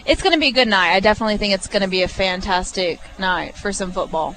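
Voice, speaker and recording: A woman's voice: medium pitch, fast, fairly bright tone, poor audio. Young woman from arkansas chatting on live radio at a bar, upbeat and easygoing, lots of ums. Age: 20s. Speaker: guest, restaurant assistant manager. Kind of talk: casual on-air chat. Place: restaurant bar, on location.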